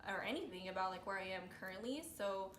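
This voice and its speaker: A woman's voice: mid-range voice, quick pace, a bit bright. A woman of thirty hosting a casual, chatty interview with a friend. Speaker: host interviewing her friend. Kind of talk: casual chat between friends. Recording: echoey room with faint noise.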